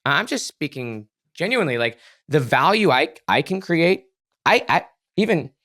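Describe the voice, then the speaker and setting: A man's voice: a little high, big pitch swings, normal speed, pushed and bright, dry recording, studio quality. A man speaking as a podcast guest, explaining ideas in an earnest, emphatic way and repeating words for emphasis. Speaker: podcast guest, author and psychologist. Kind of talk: conversational explaining in an interview.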